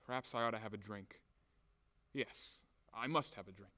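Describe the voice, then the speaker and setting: An actor playing a furious, self-pitying landowner who rants and grumbles theatrically in an audio play comedy. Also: male voice, fairly low pitch, normal speed, bright, forceful tone. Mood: weary grumbling. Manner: theatrical, exasperated ranting and grumbling. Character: blustering, furious, self-pitying male landowner demanding his money. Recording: echoey hall-like reverb.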